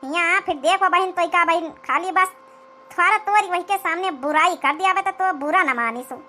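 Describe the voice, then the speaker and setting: Woman doing scheming awadhi saas for a comedy cartoon, squeaky high bright voice, wheedling village chatter. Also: moderate pace, slight background noise. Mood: scheming, coaxing. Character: scheming, flattering older village mother-in-law in a comedy cartoon.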